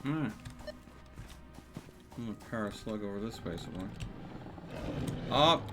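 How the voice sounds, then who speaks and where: A man's voice: on the low side, animated, measured pace. A man giving casual live gameplay commentary, thinking aloud with playful exclamations. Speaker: video game streamer. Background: video game music.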